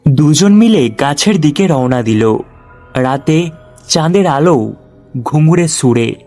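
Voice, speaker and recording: A man narrating a scripted ghost story for an animated horror tale. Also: a little high, slow, faint music behind.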